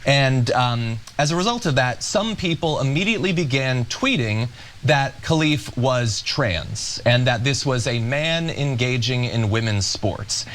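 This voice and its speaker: A man hosting a political talk show, explaining a news story in a measured, explanatory way. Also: mid-range voice, lively intonation, fairly bright tone, a little hiss or hum. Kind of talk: news commentary.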